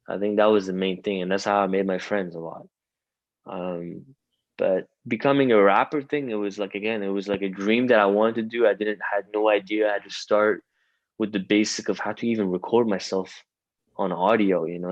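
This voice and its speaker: A young man from Iran talks loosely in an interview, his coloring the English he learned after Farsi. His voice sits fairly low and rambles through fillers, restarts and "you know"s. The sound is close and dry, with no room around it. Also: no echo, muffled recording. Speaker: rapper guest. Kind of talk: casual interview chat.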